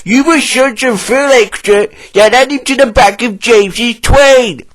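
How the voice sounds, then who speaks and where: A man voicing a bossy male plant manager in a children's storybook, in a brisk, commanding manner. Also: very high-pitched, a bit bright, pitched above the performer's own voice. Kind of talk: storybook reading.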